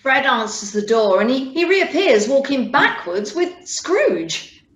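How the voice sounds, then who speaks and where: A woman's voice: high voice, big pitch swings, takes it slowly, a bit bright. A woman reading scripted storytelling narration for a play. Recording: roomy, echoing home audio with music.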